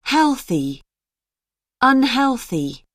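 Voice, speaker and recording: Woman, pronunciation model, isolated words, swooping intonation, very slow, dry sound. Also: high-pitched, sing-song, dry recording. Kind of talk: isolated word pairs read for learners.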